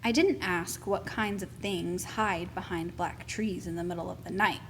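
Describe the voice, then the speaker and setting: A woman reading a children's picture book aloud as expressive, deliberate storytelling. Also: lowish voice, slight background noise.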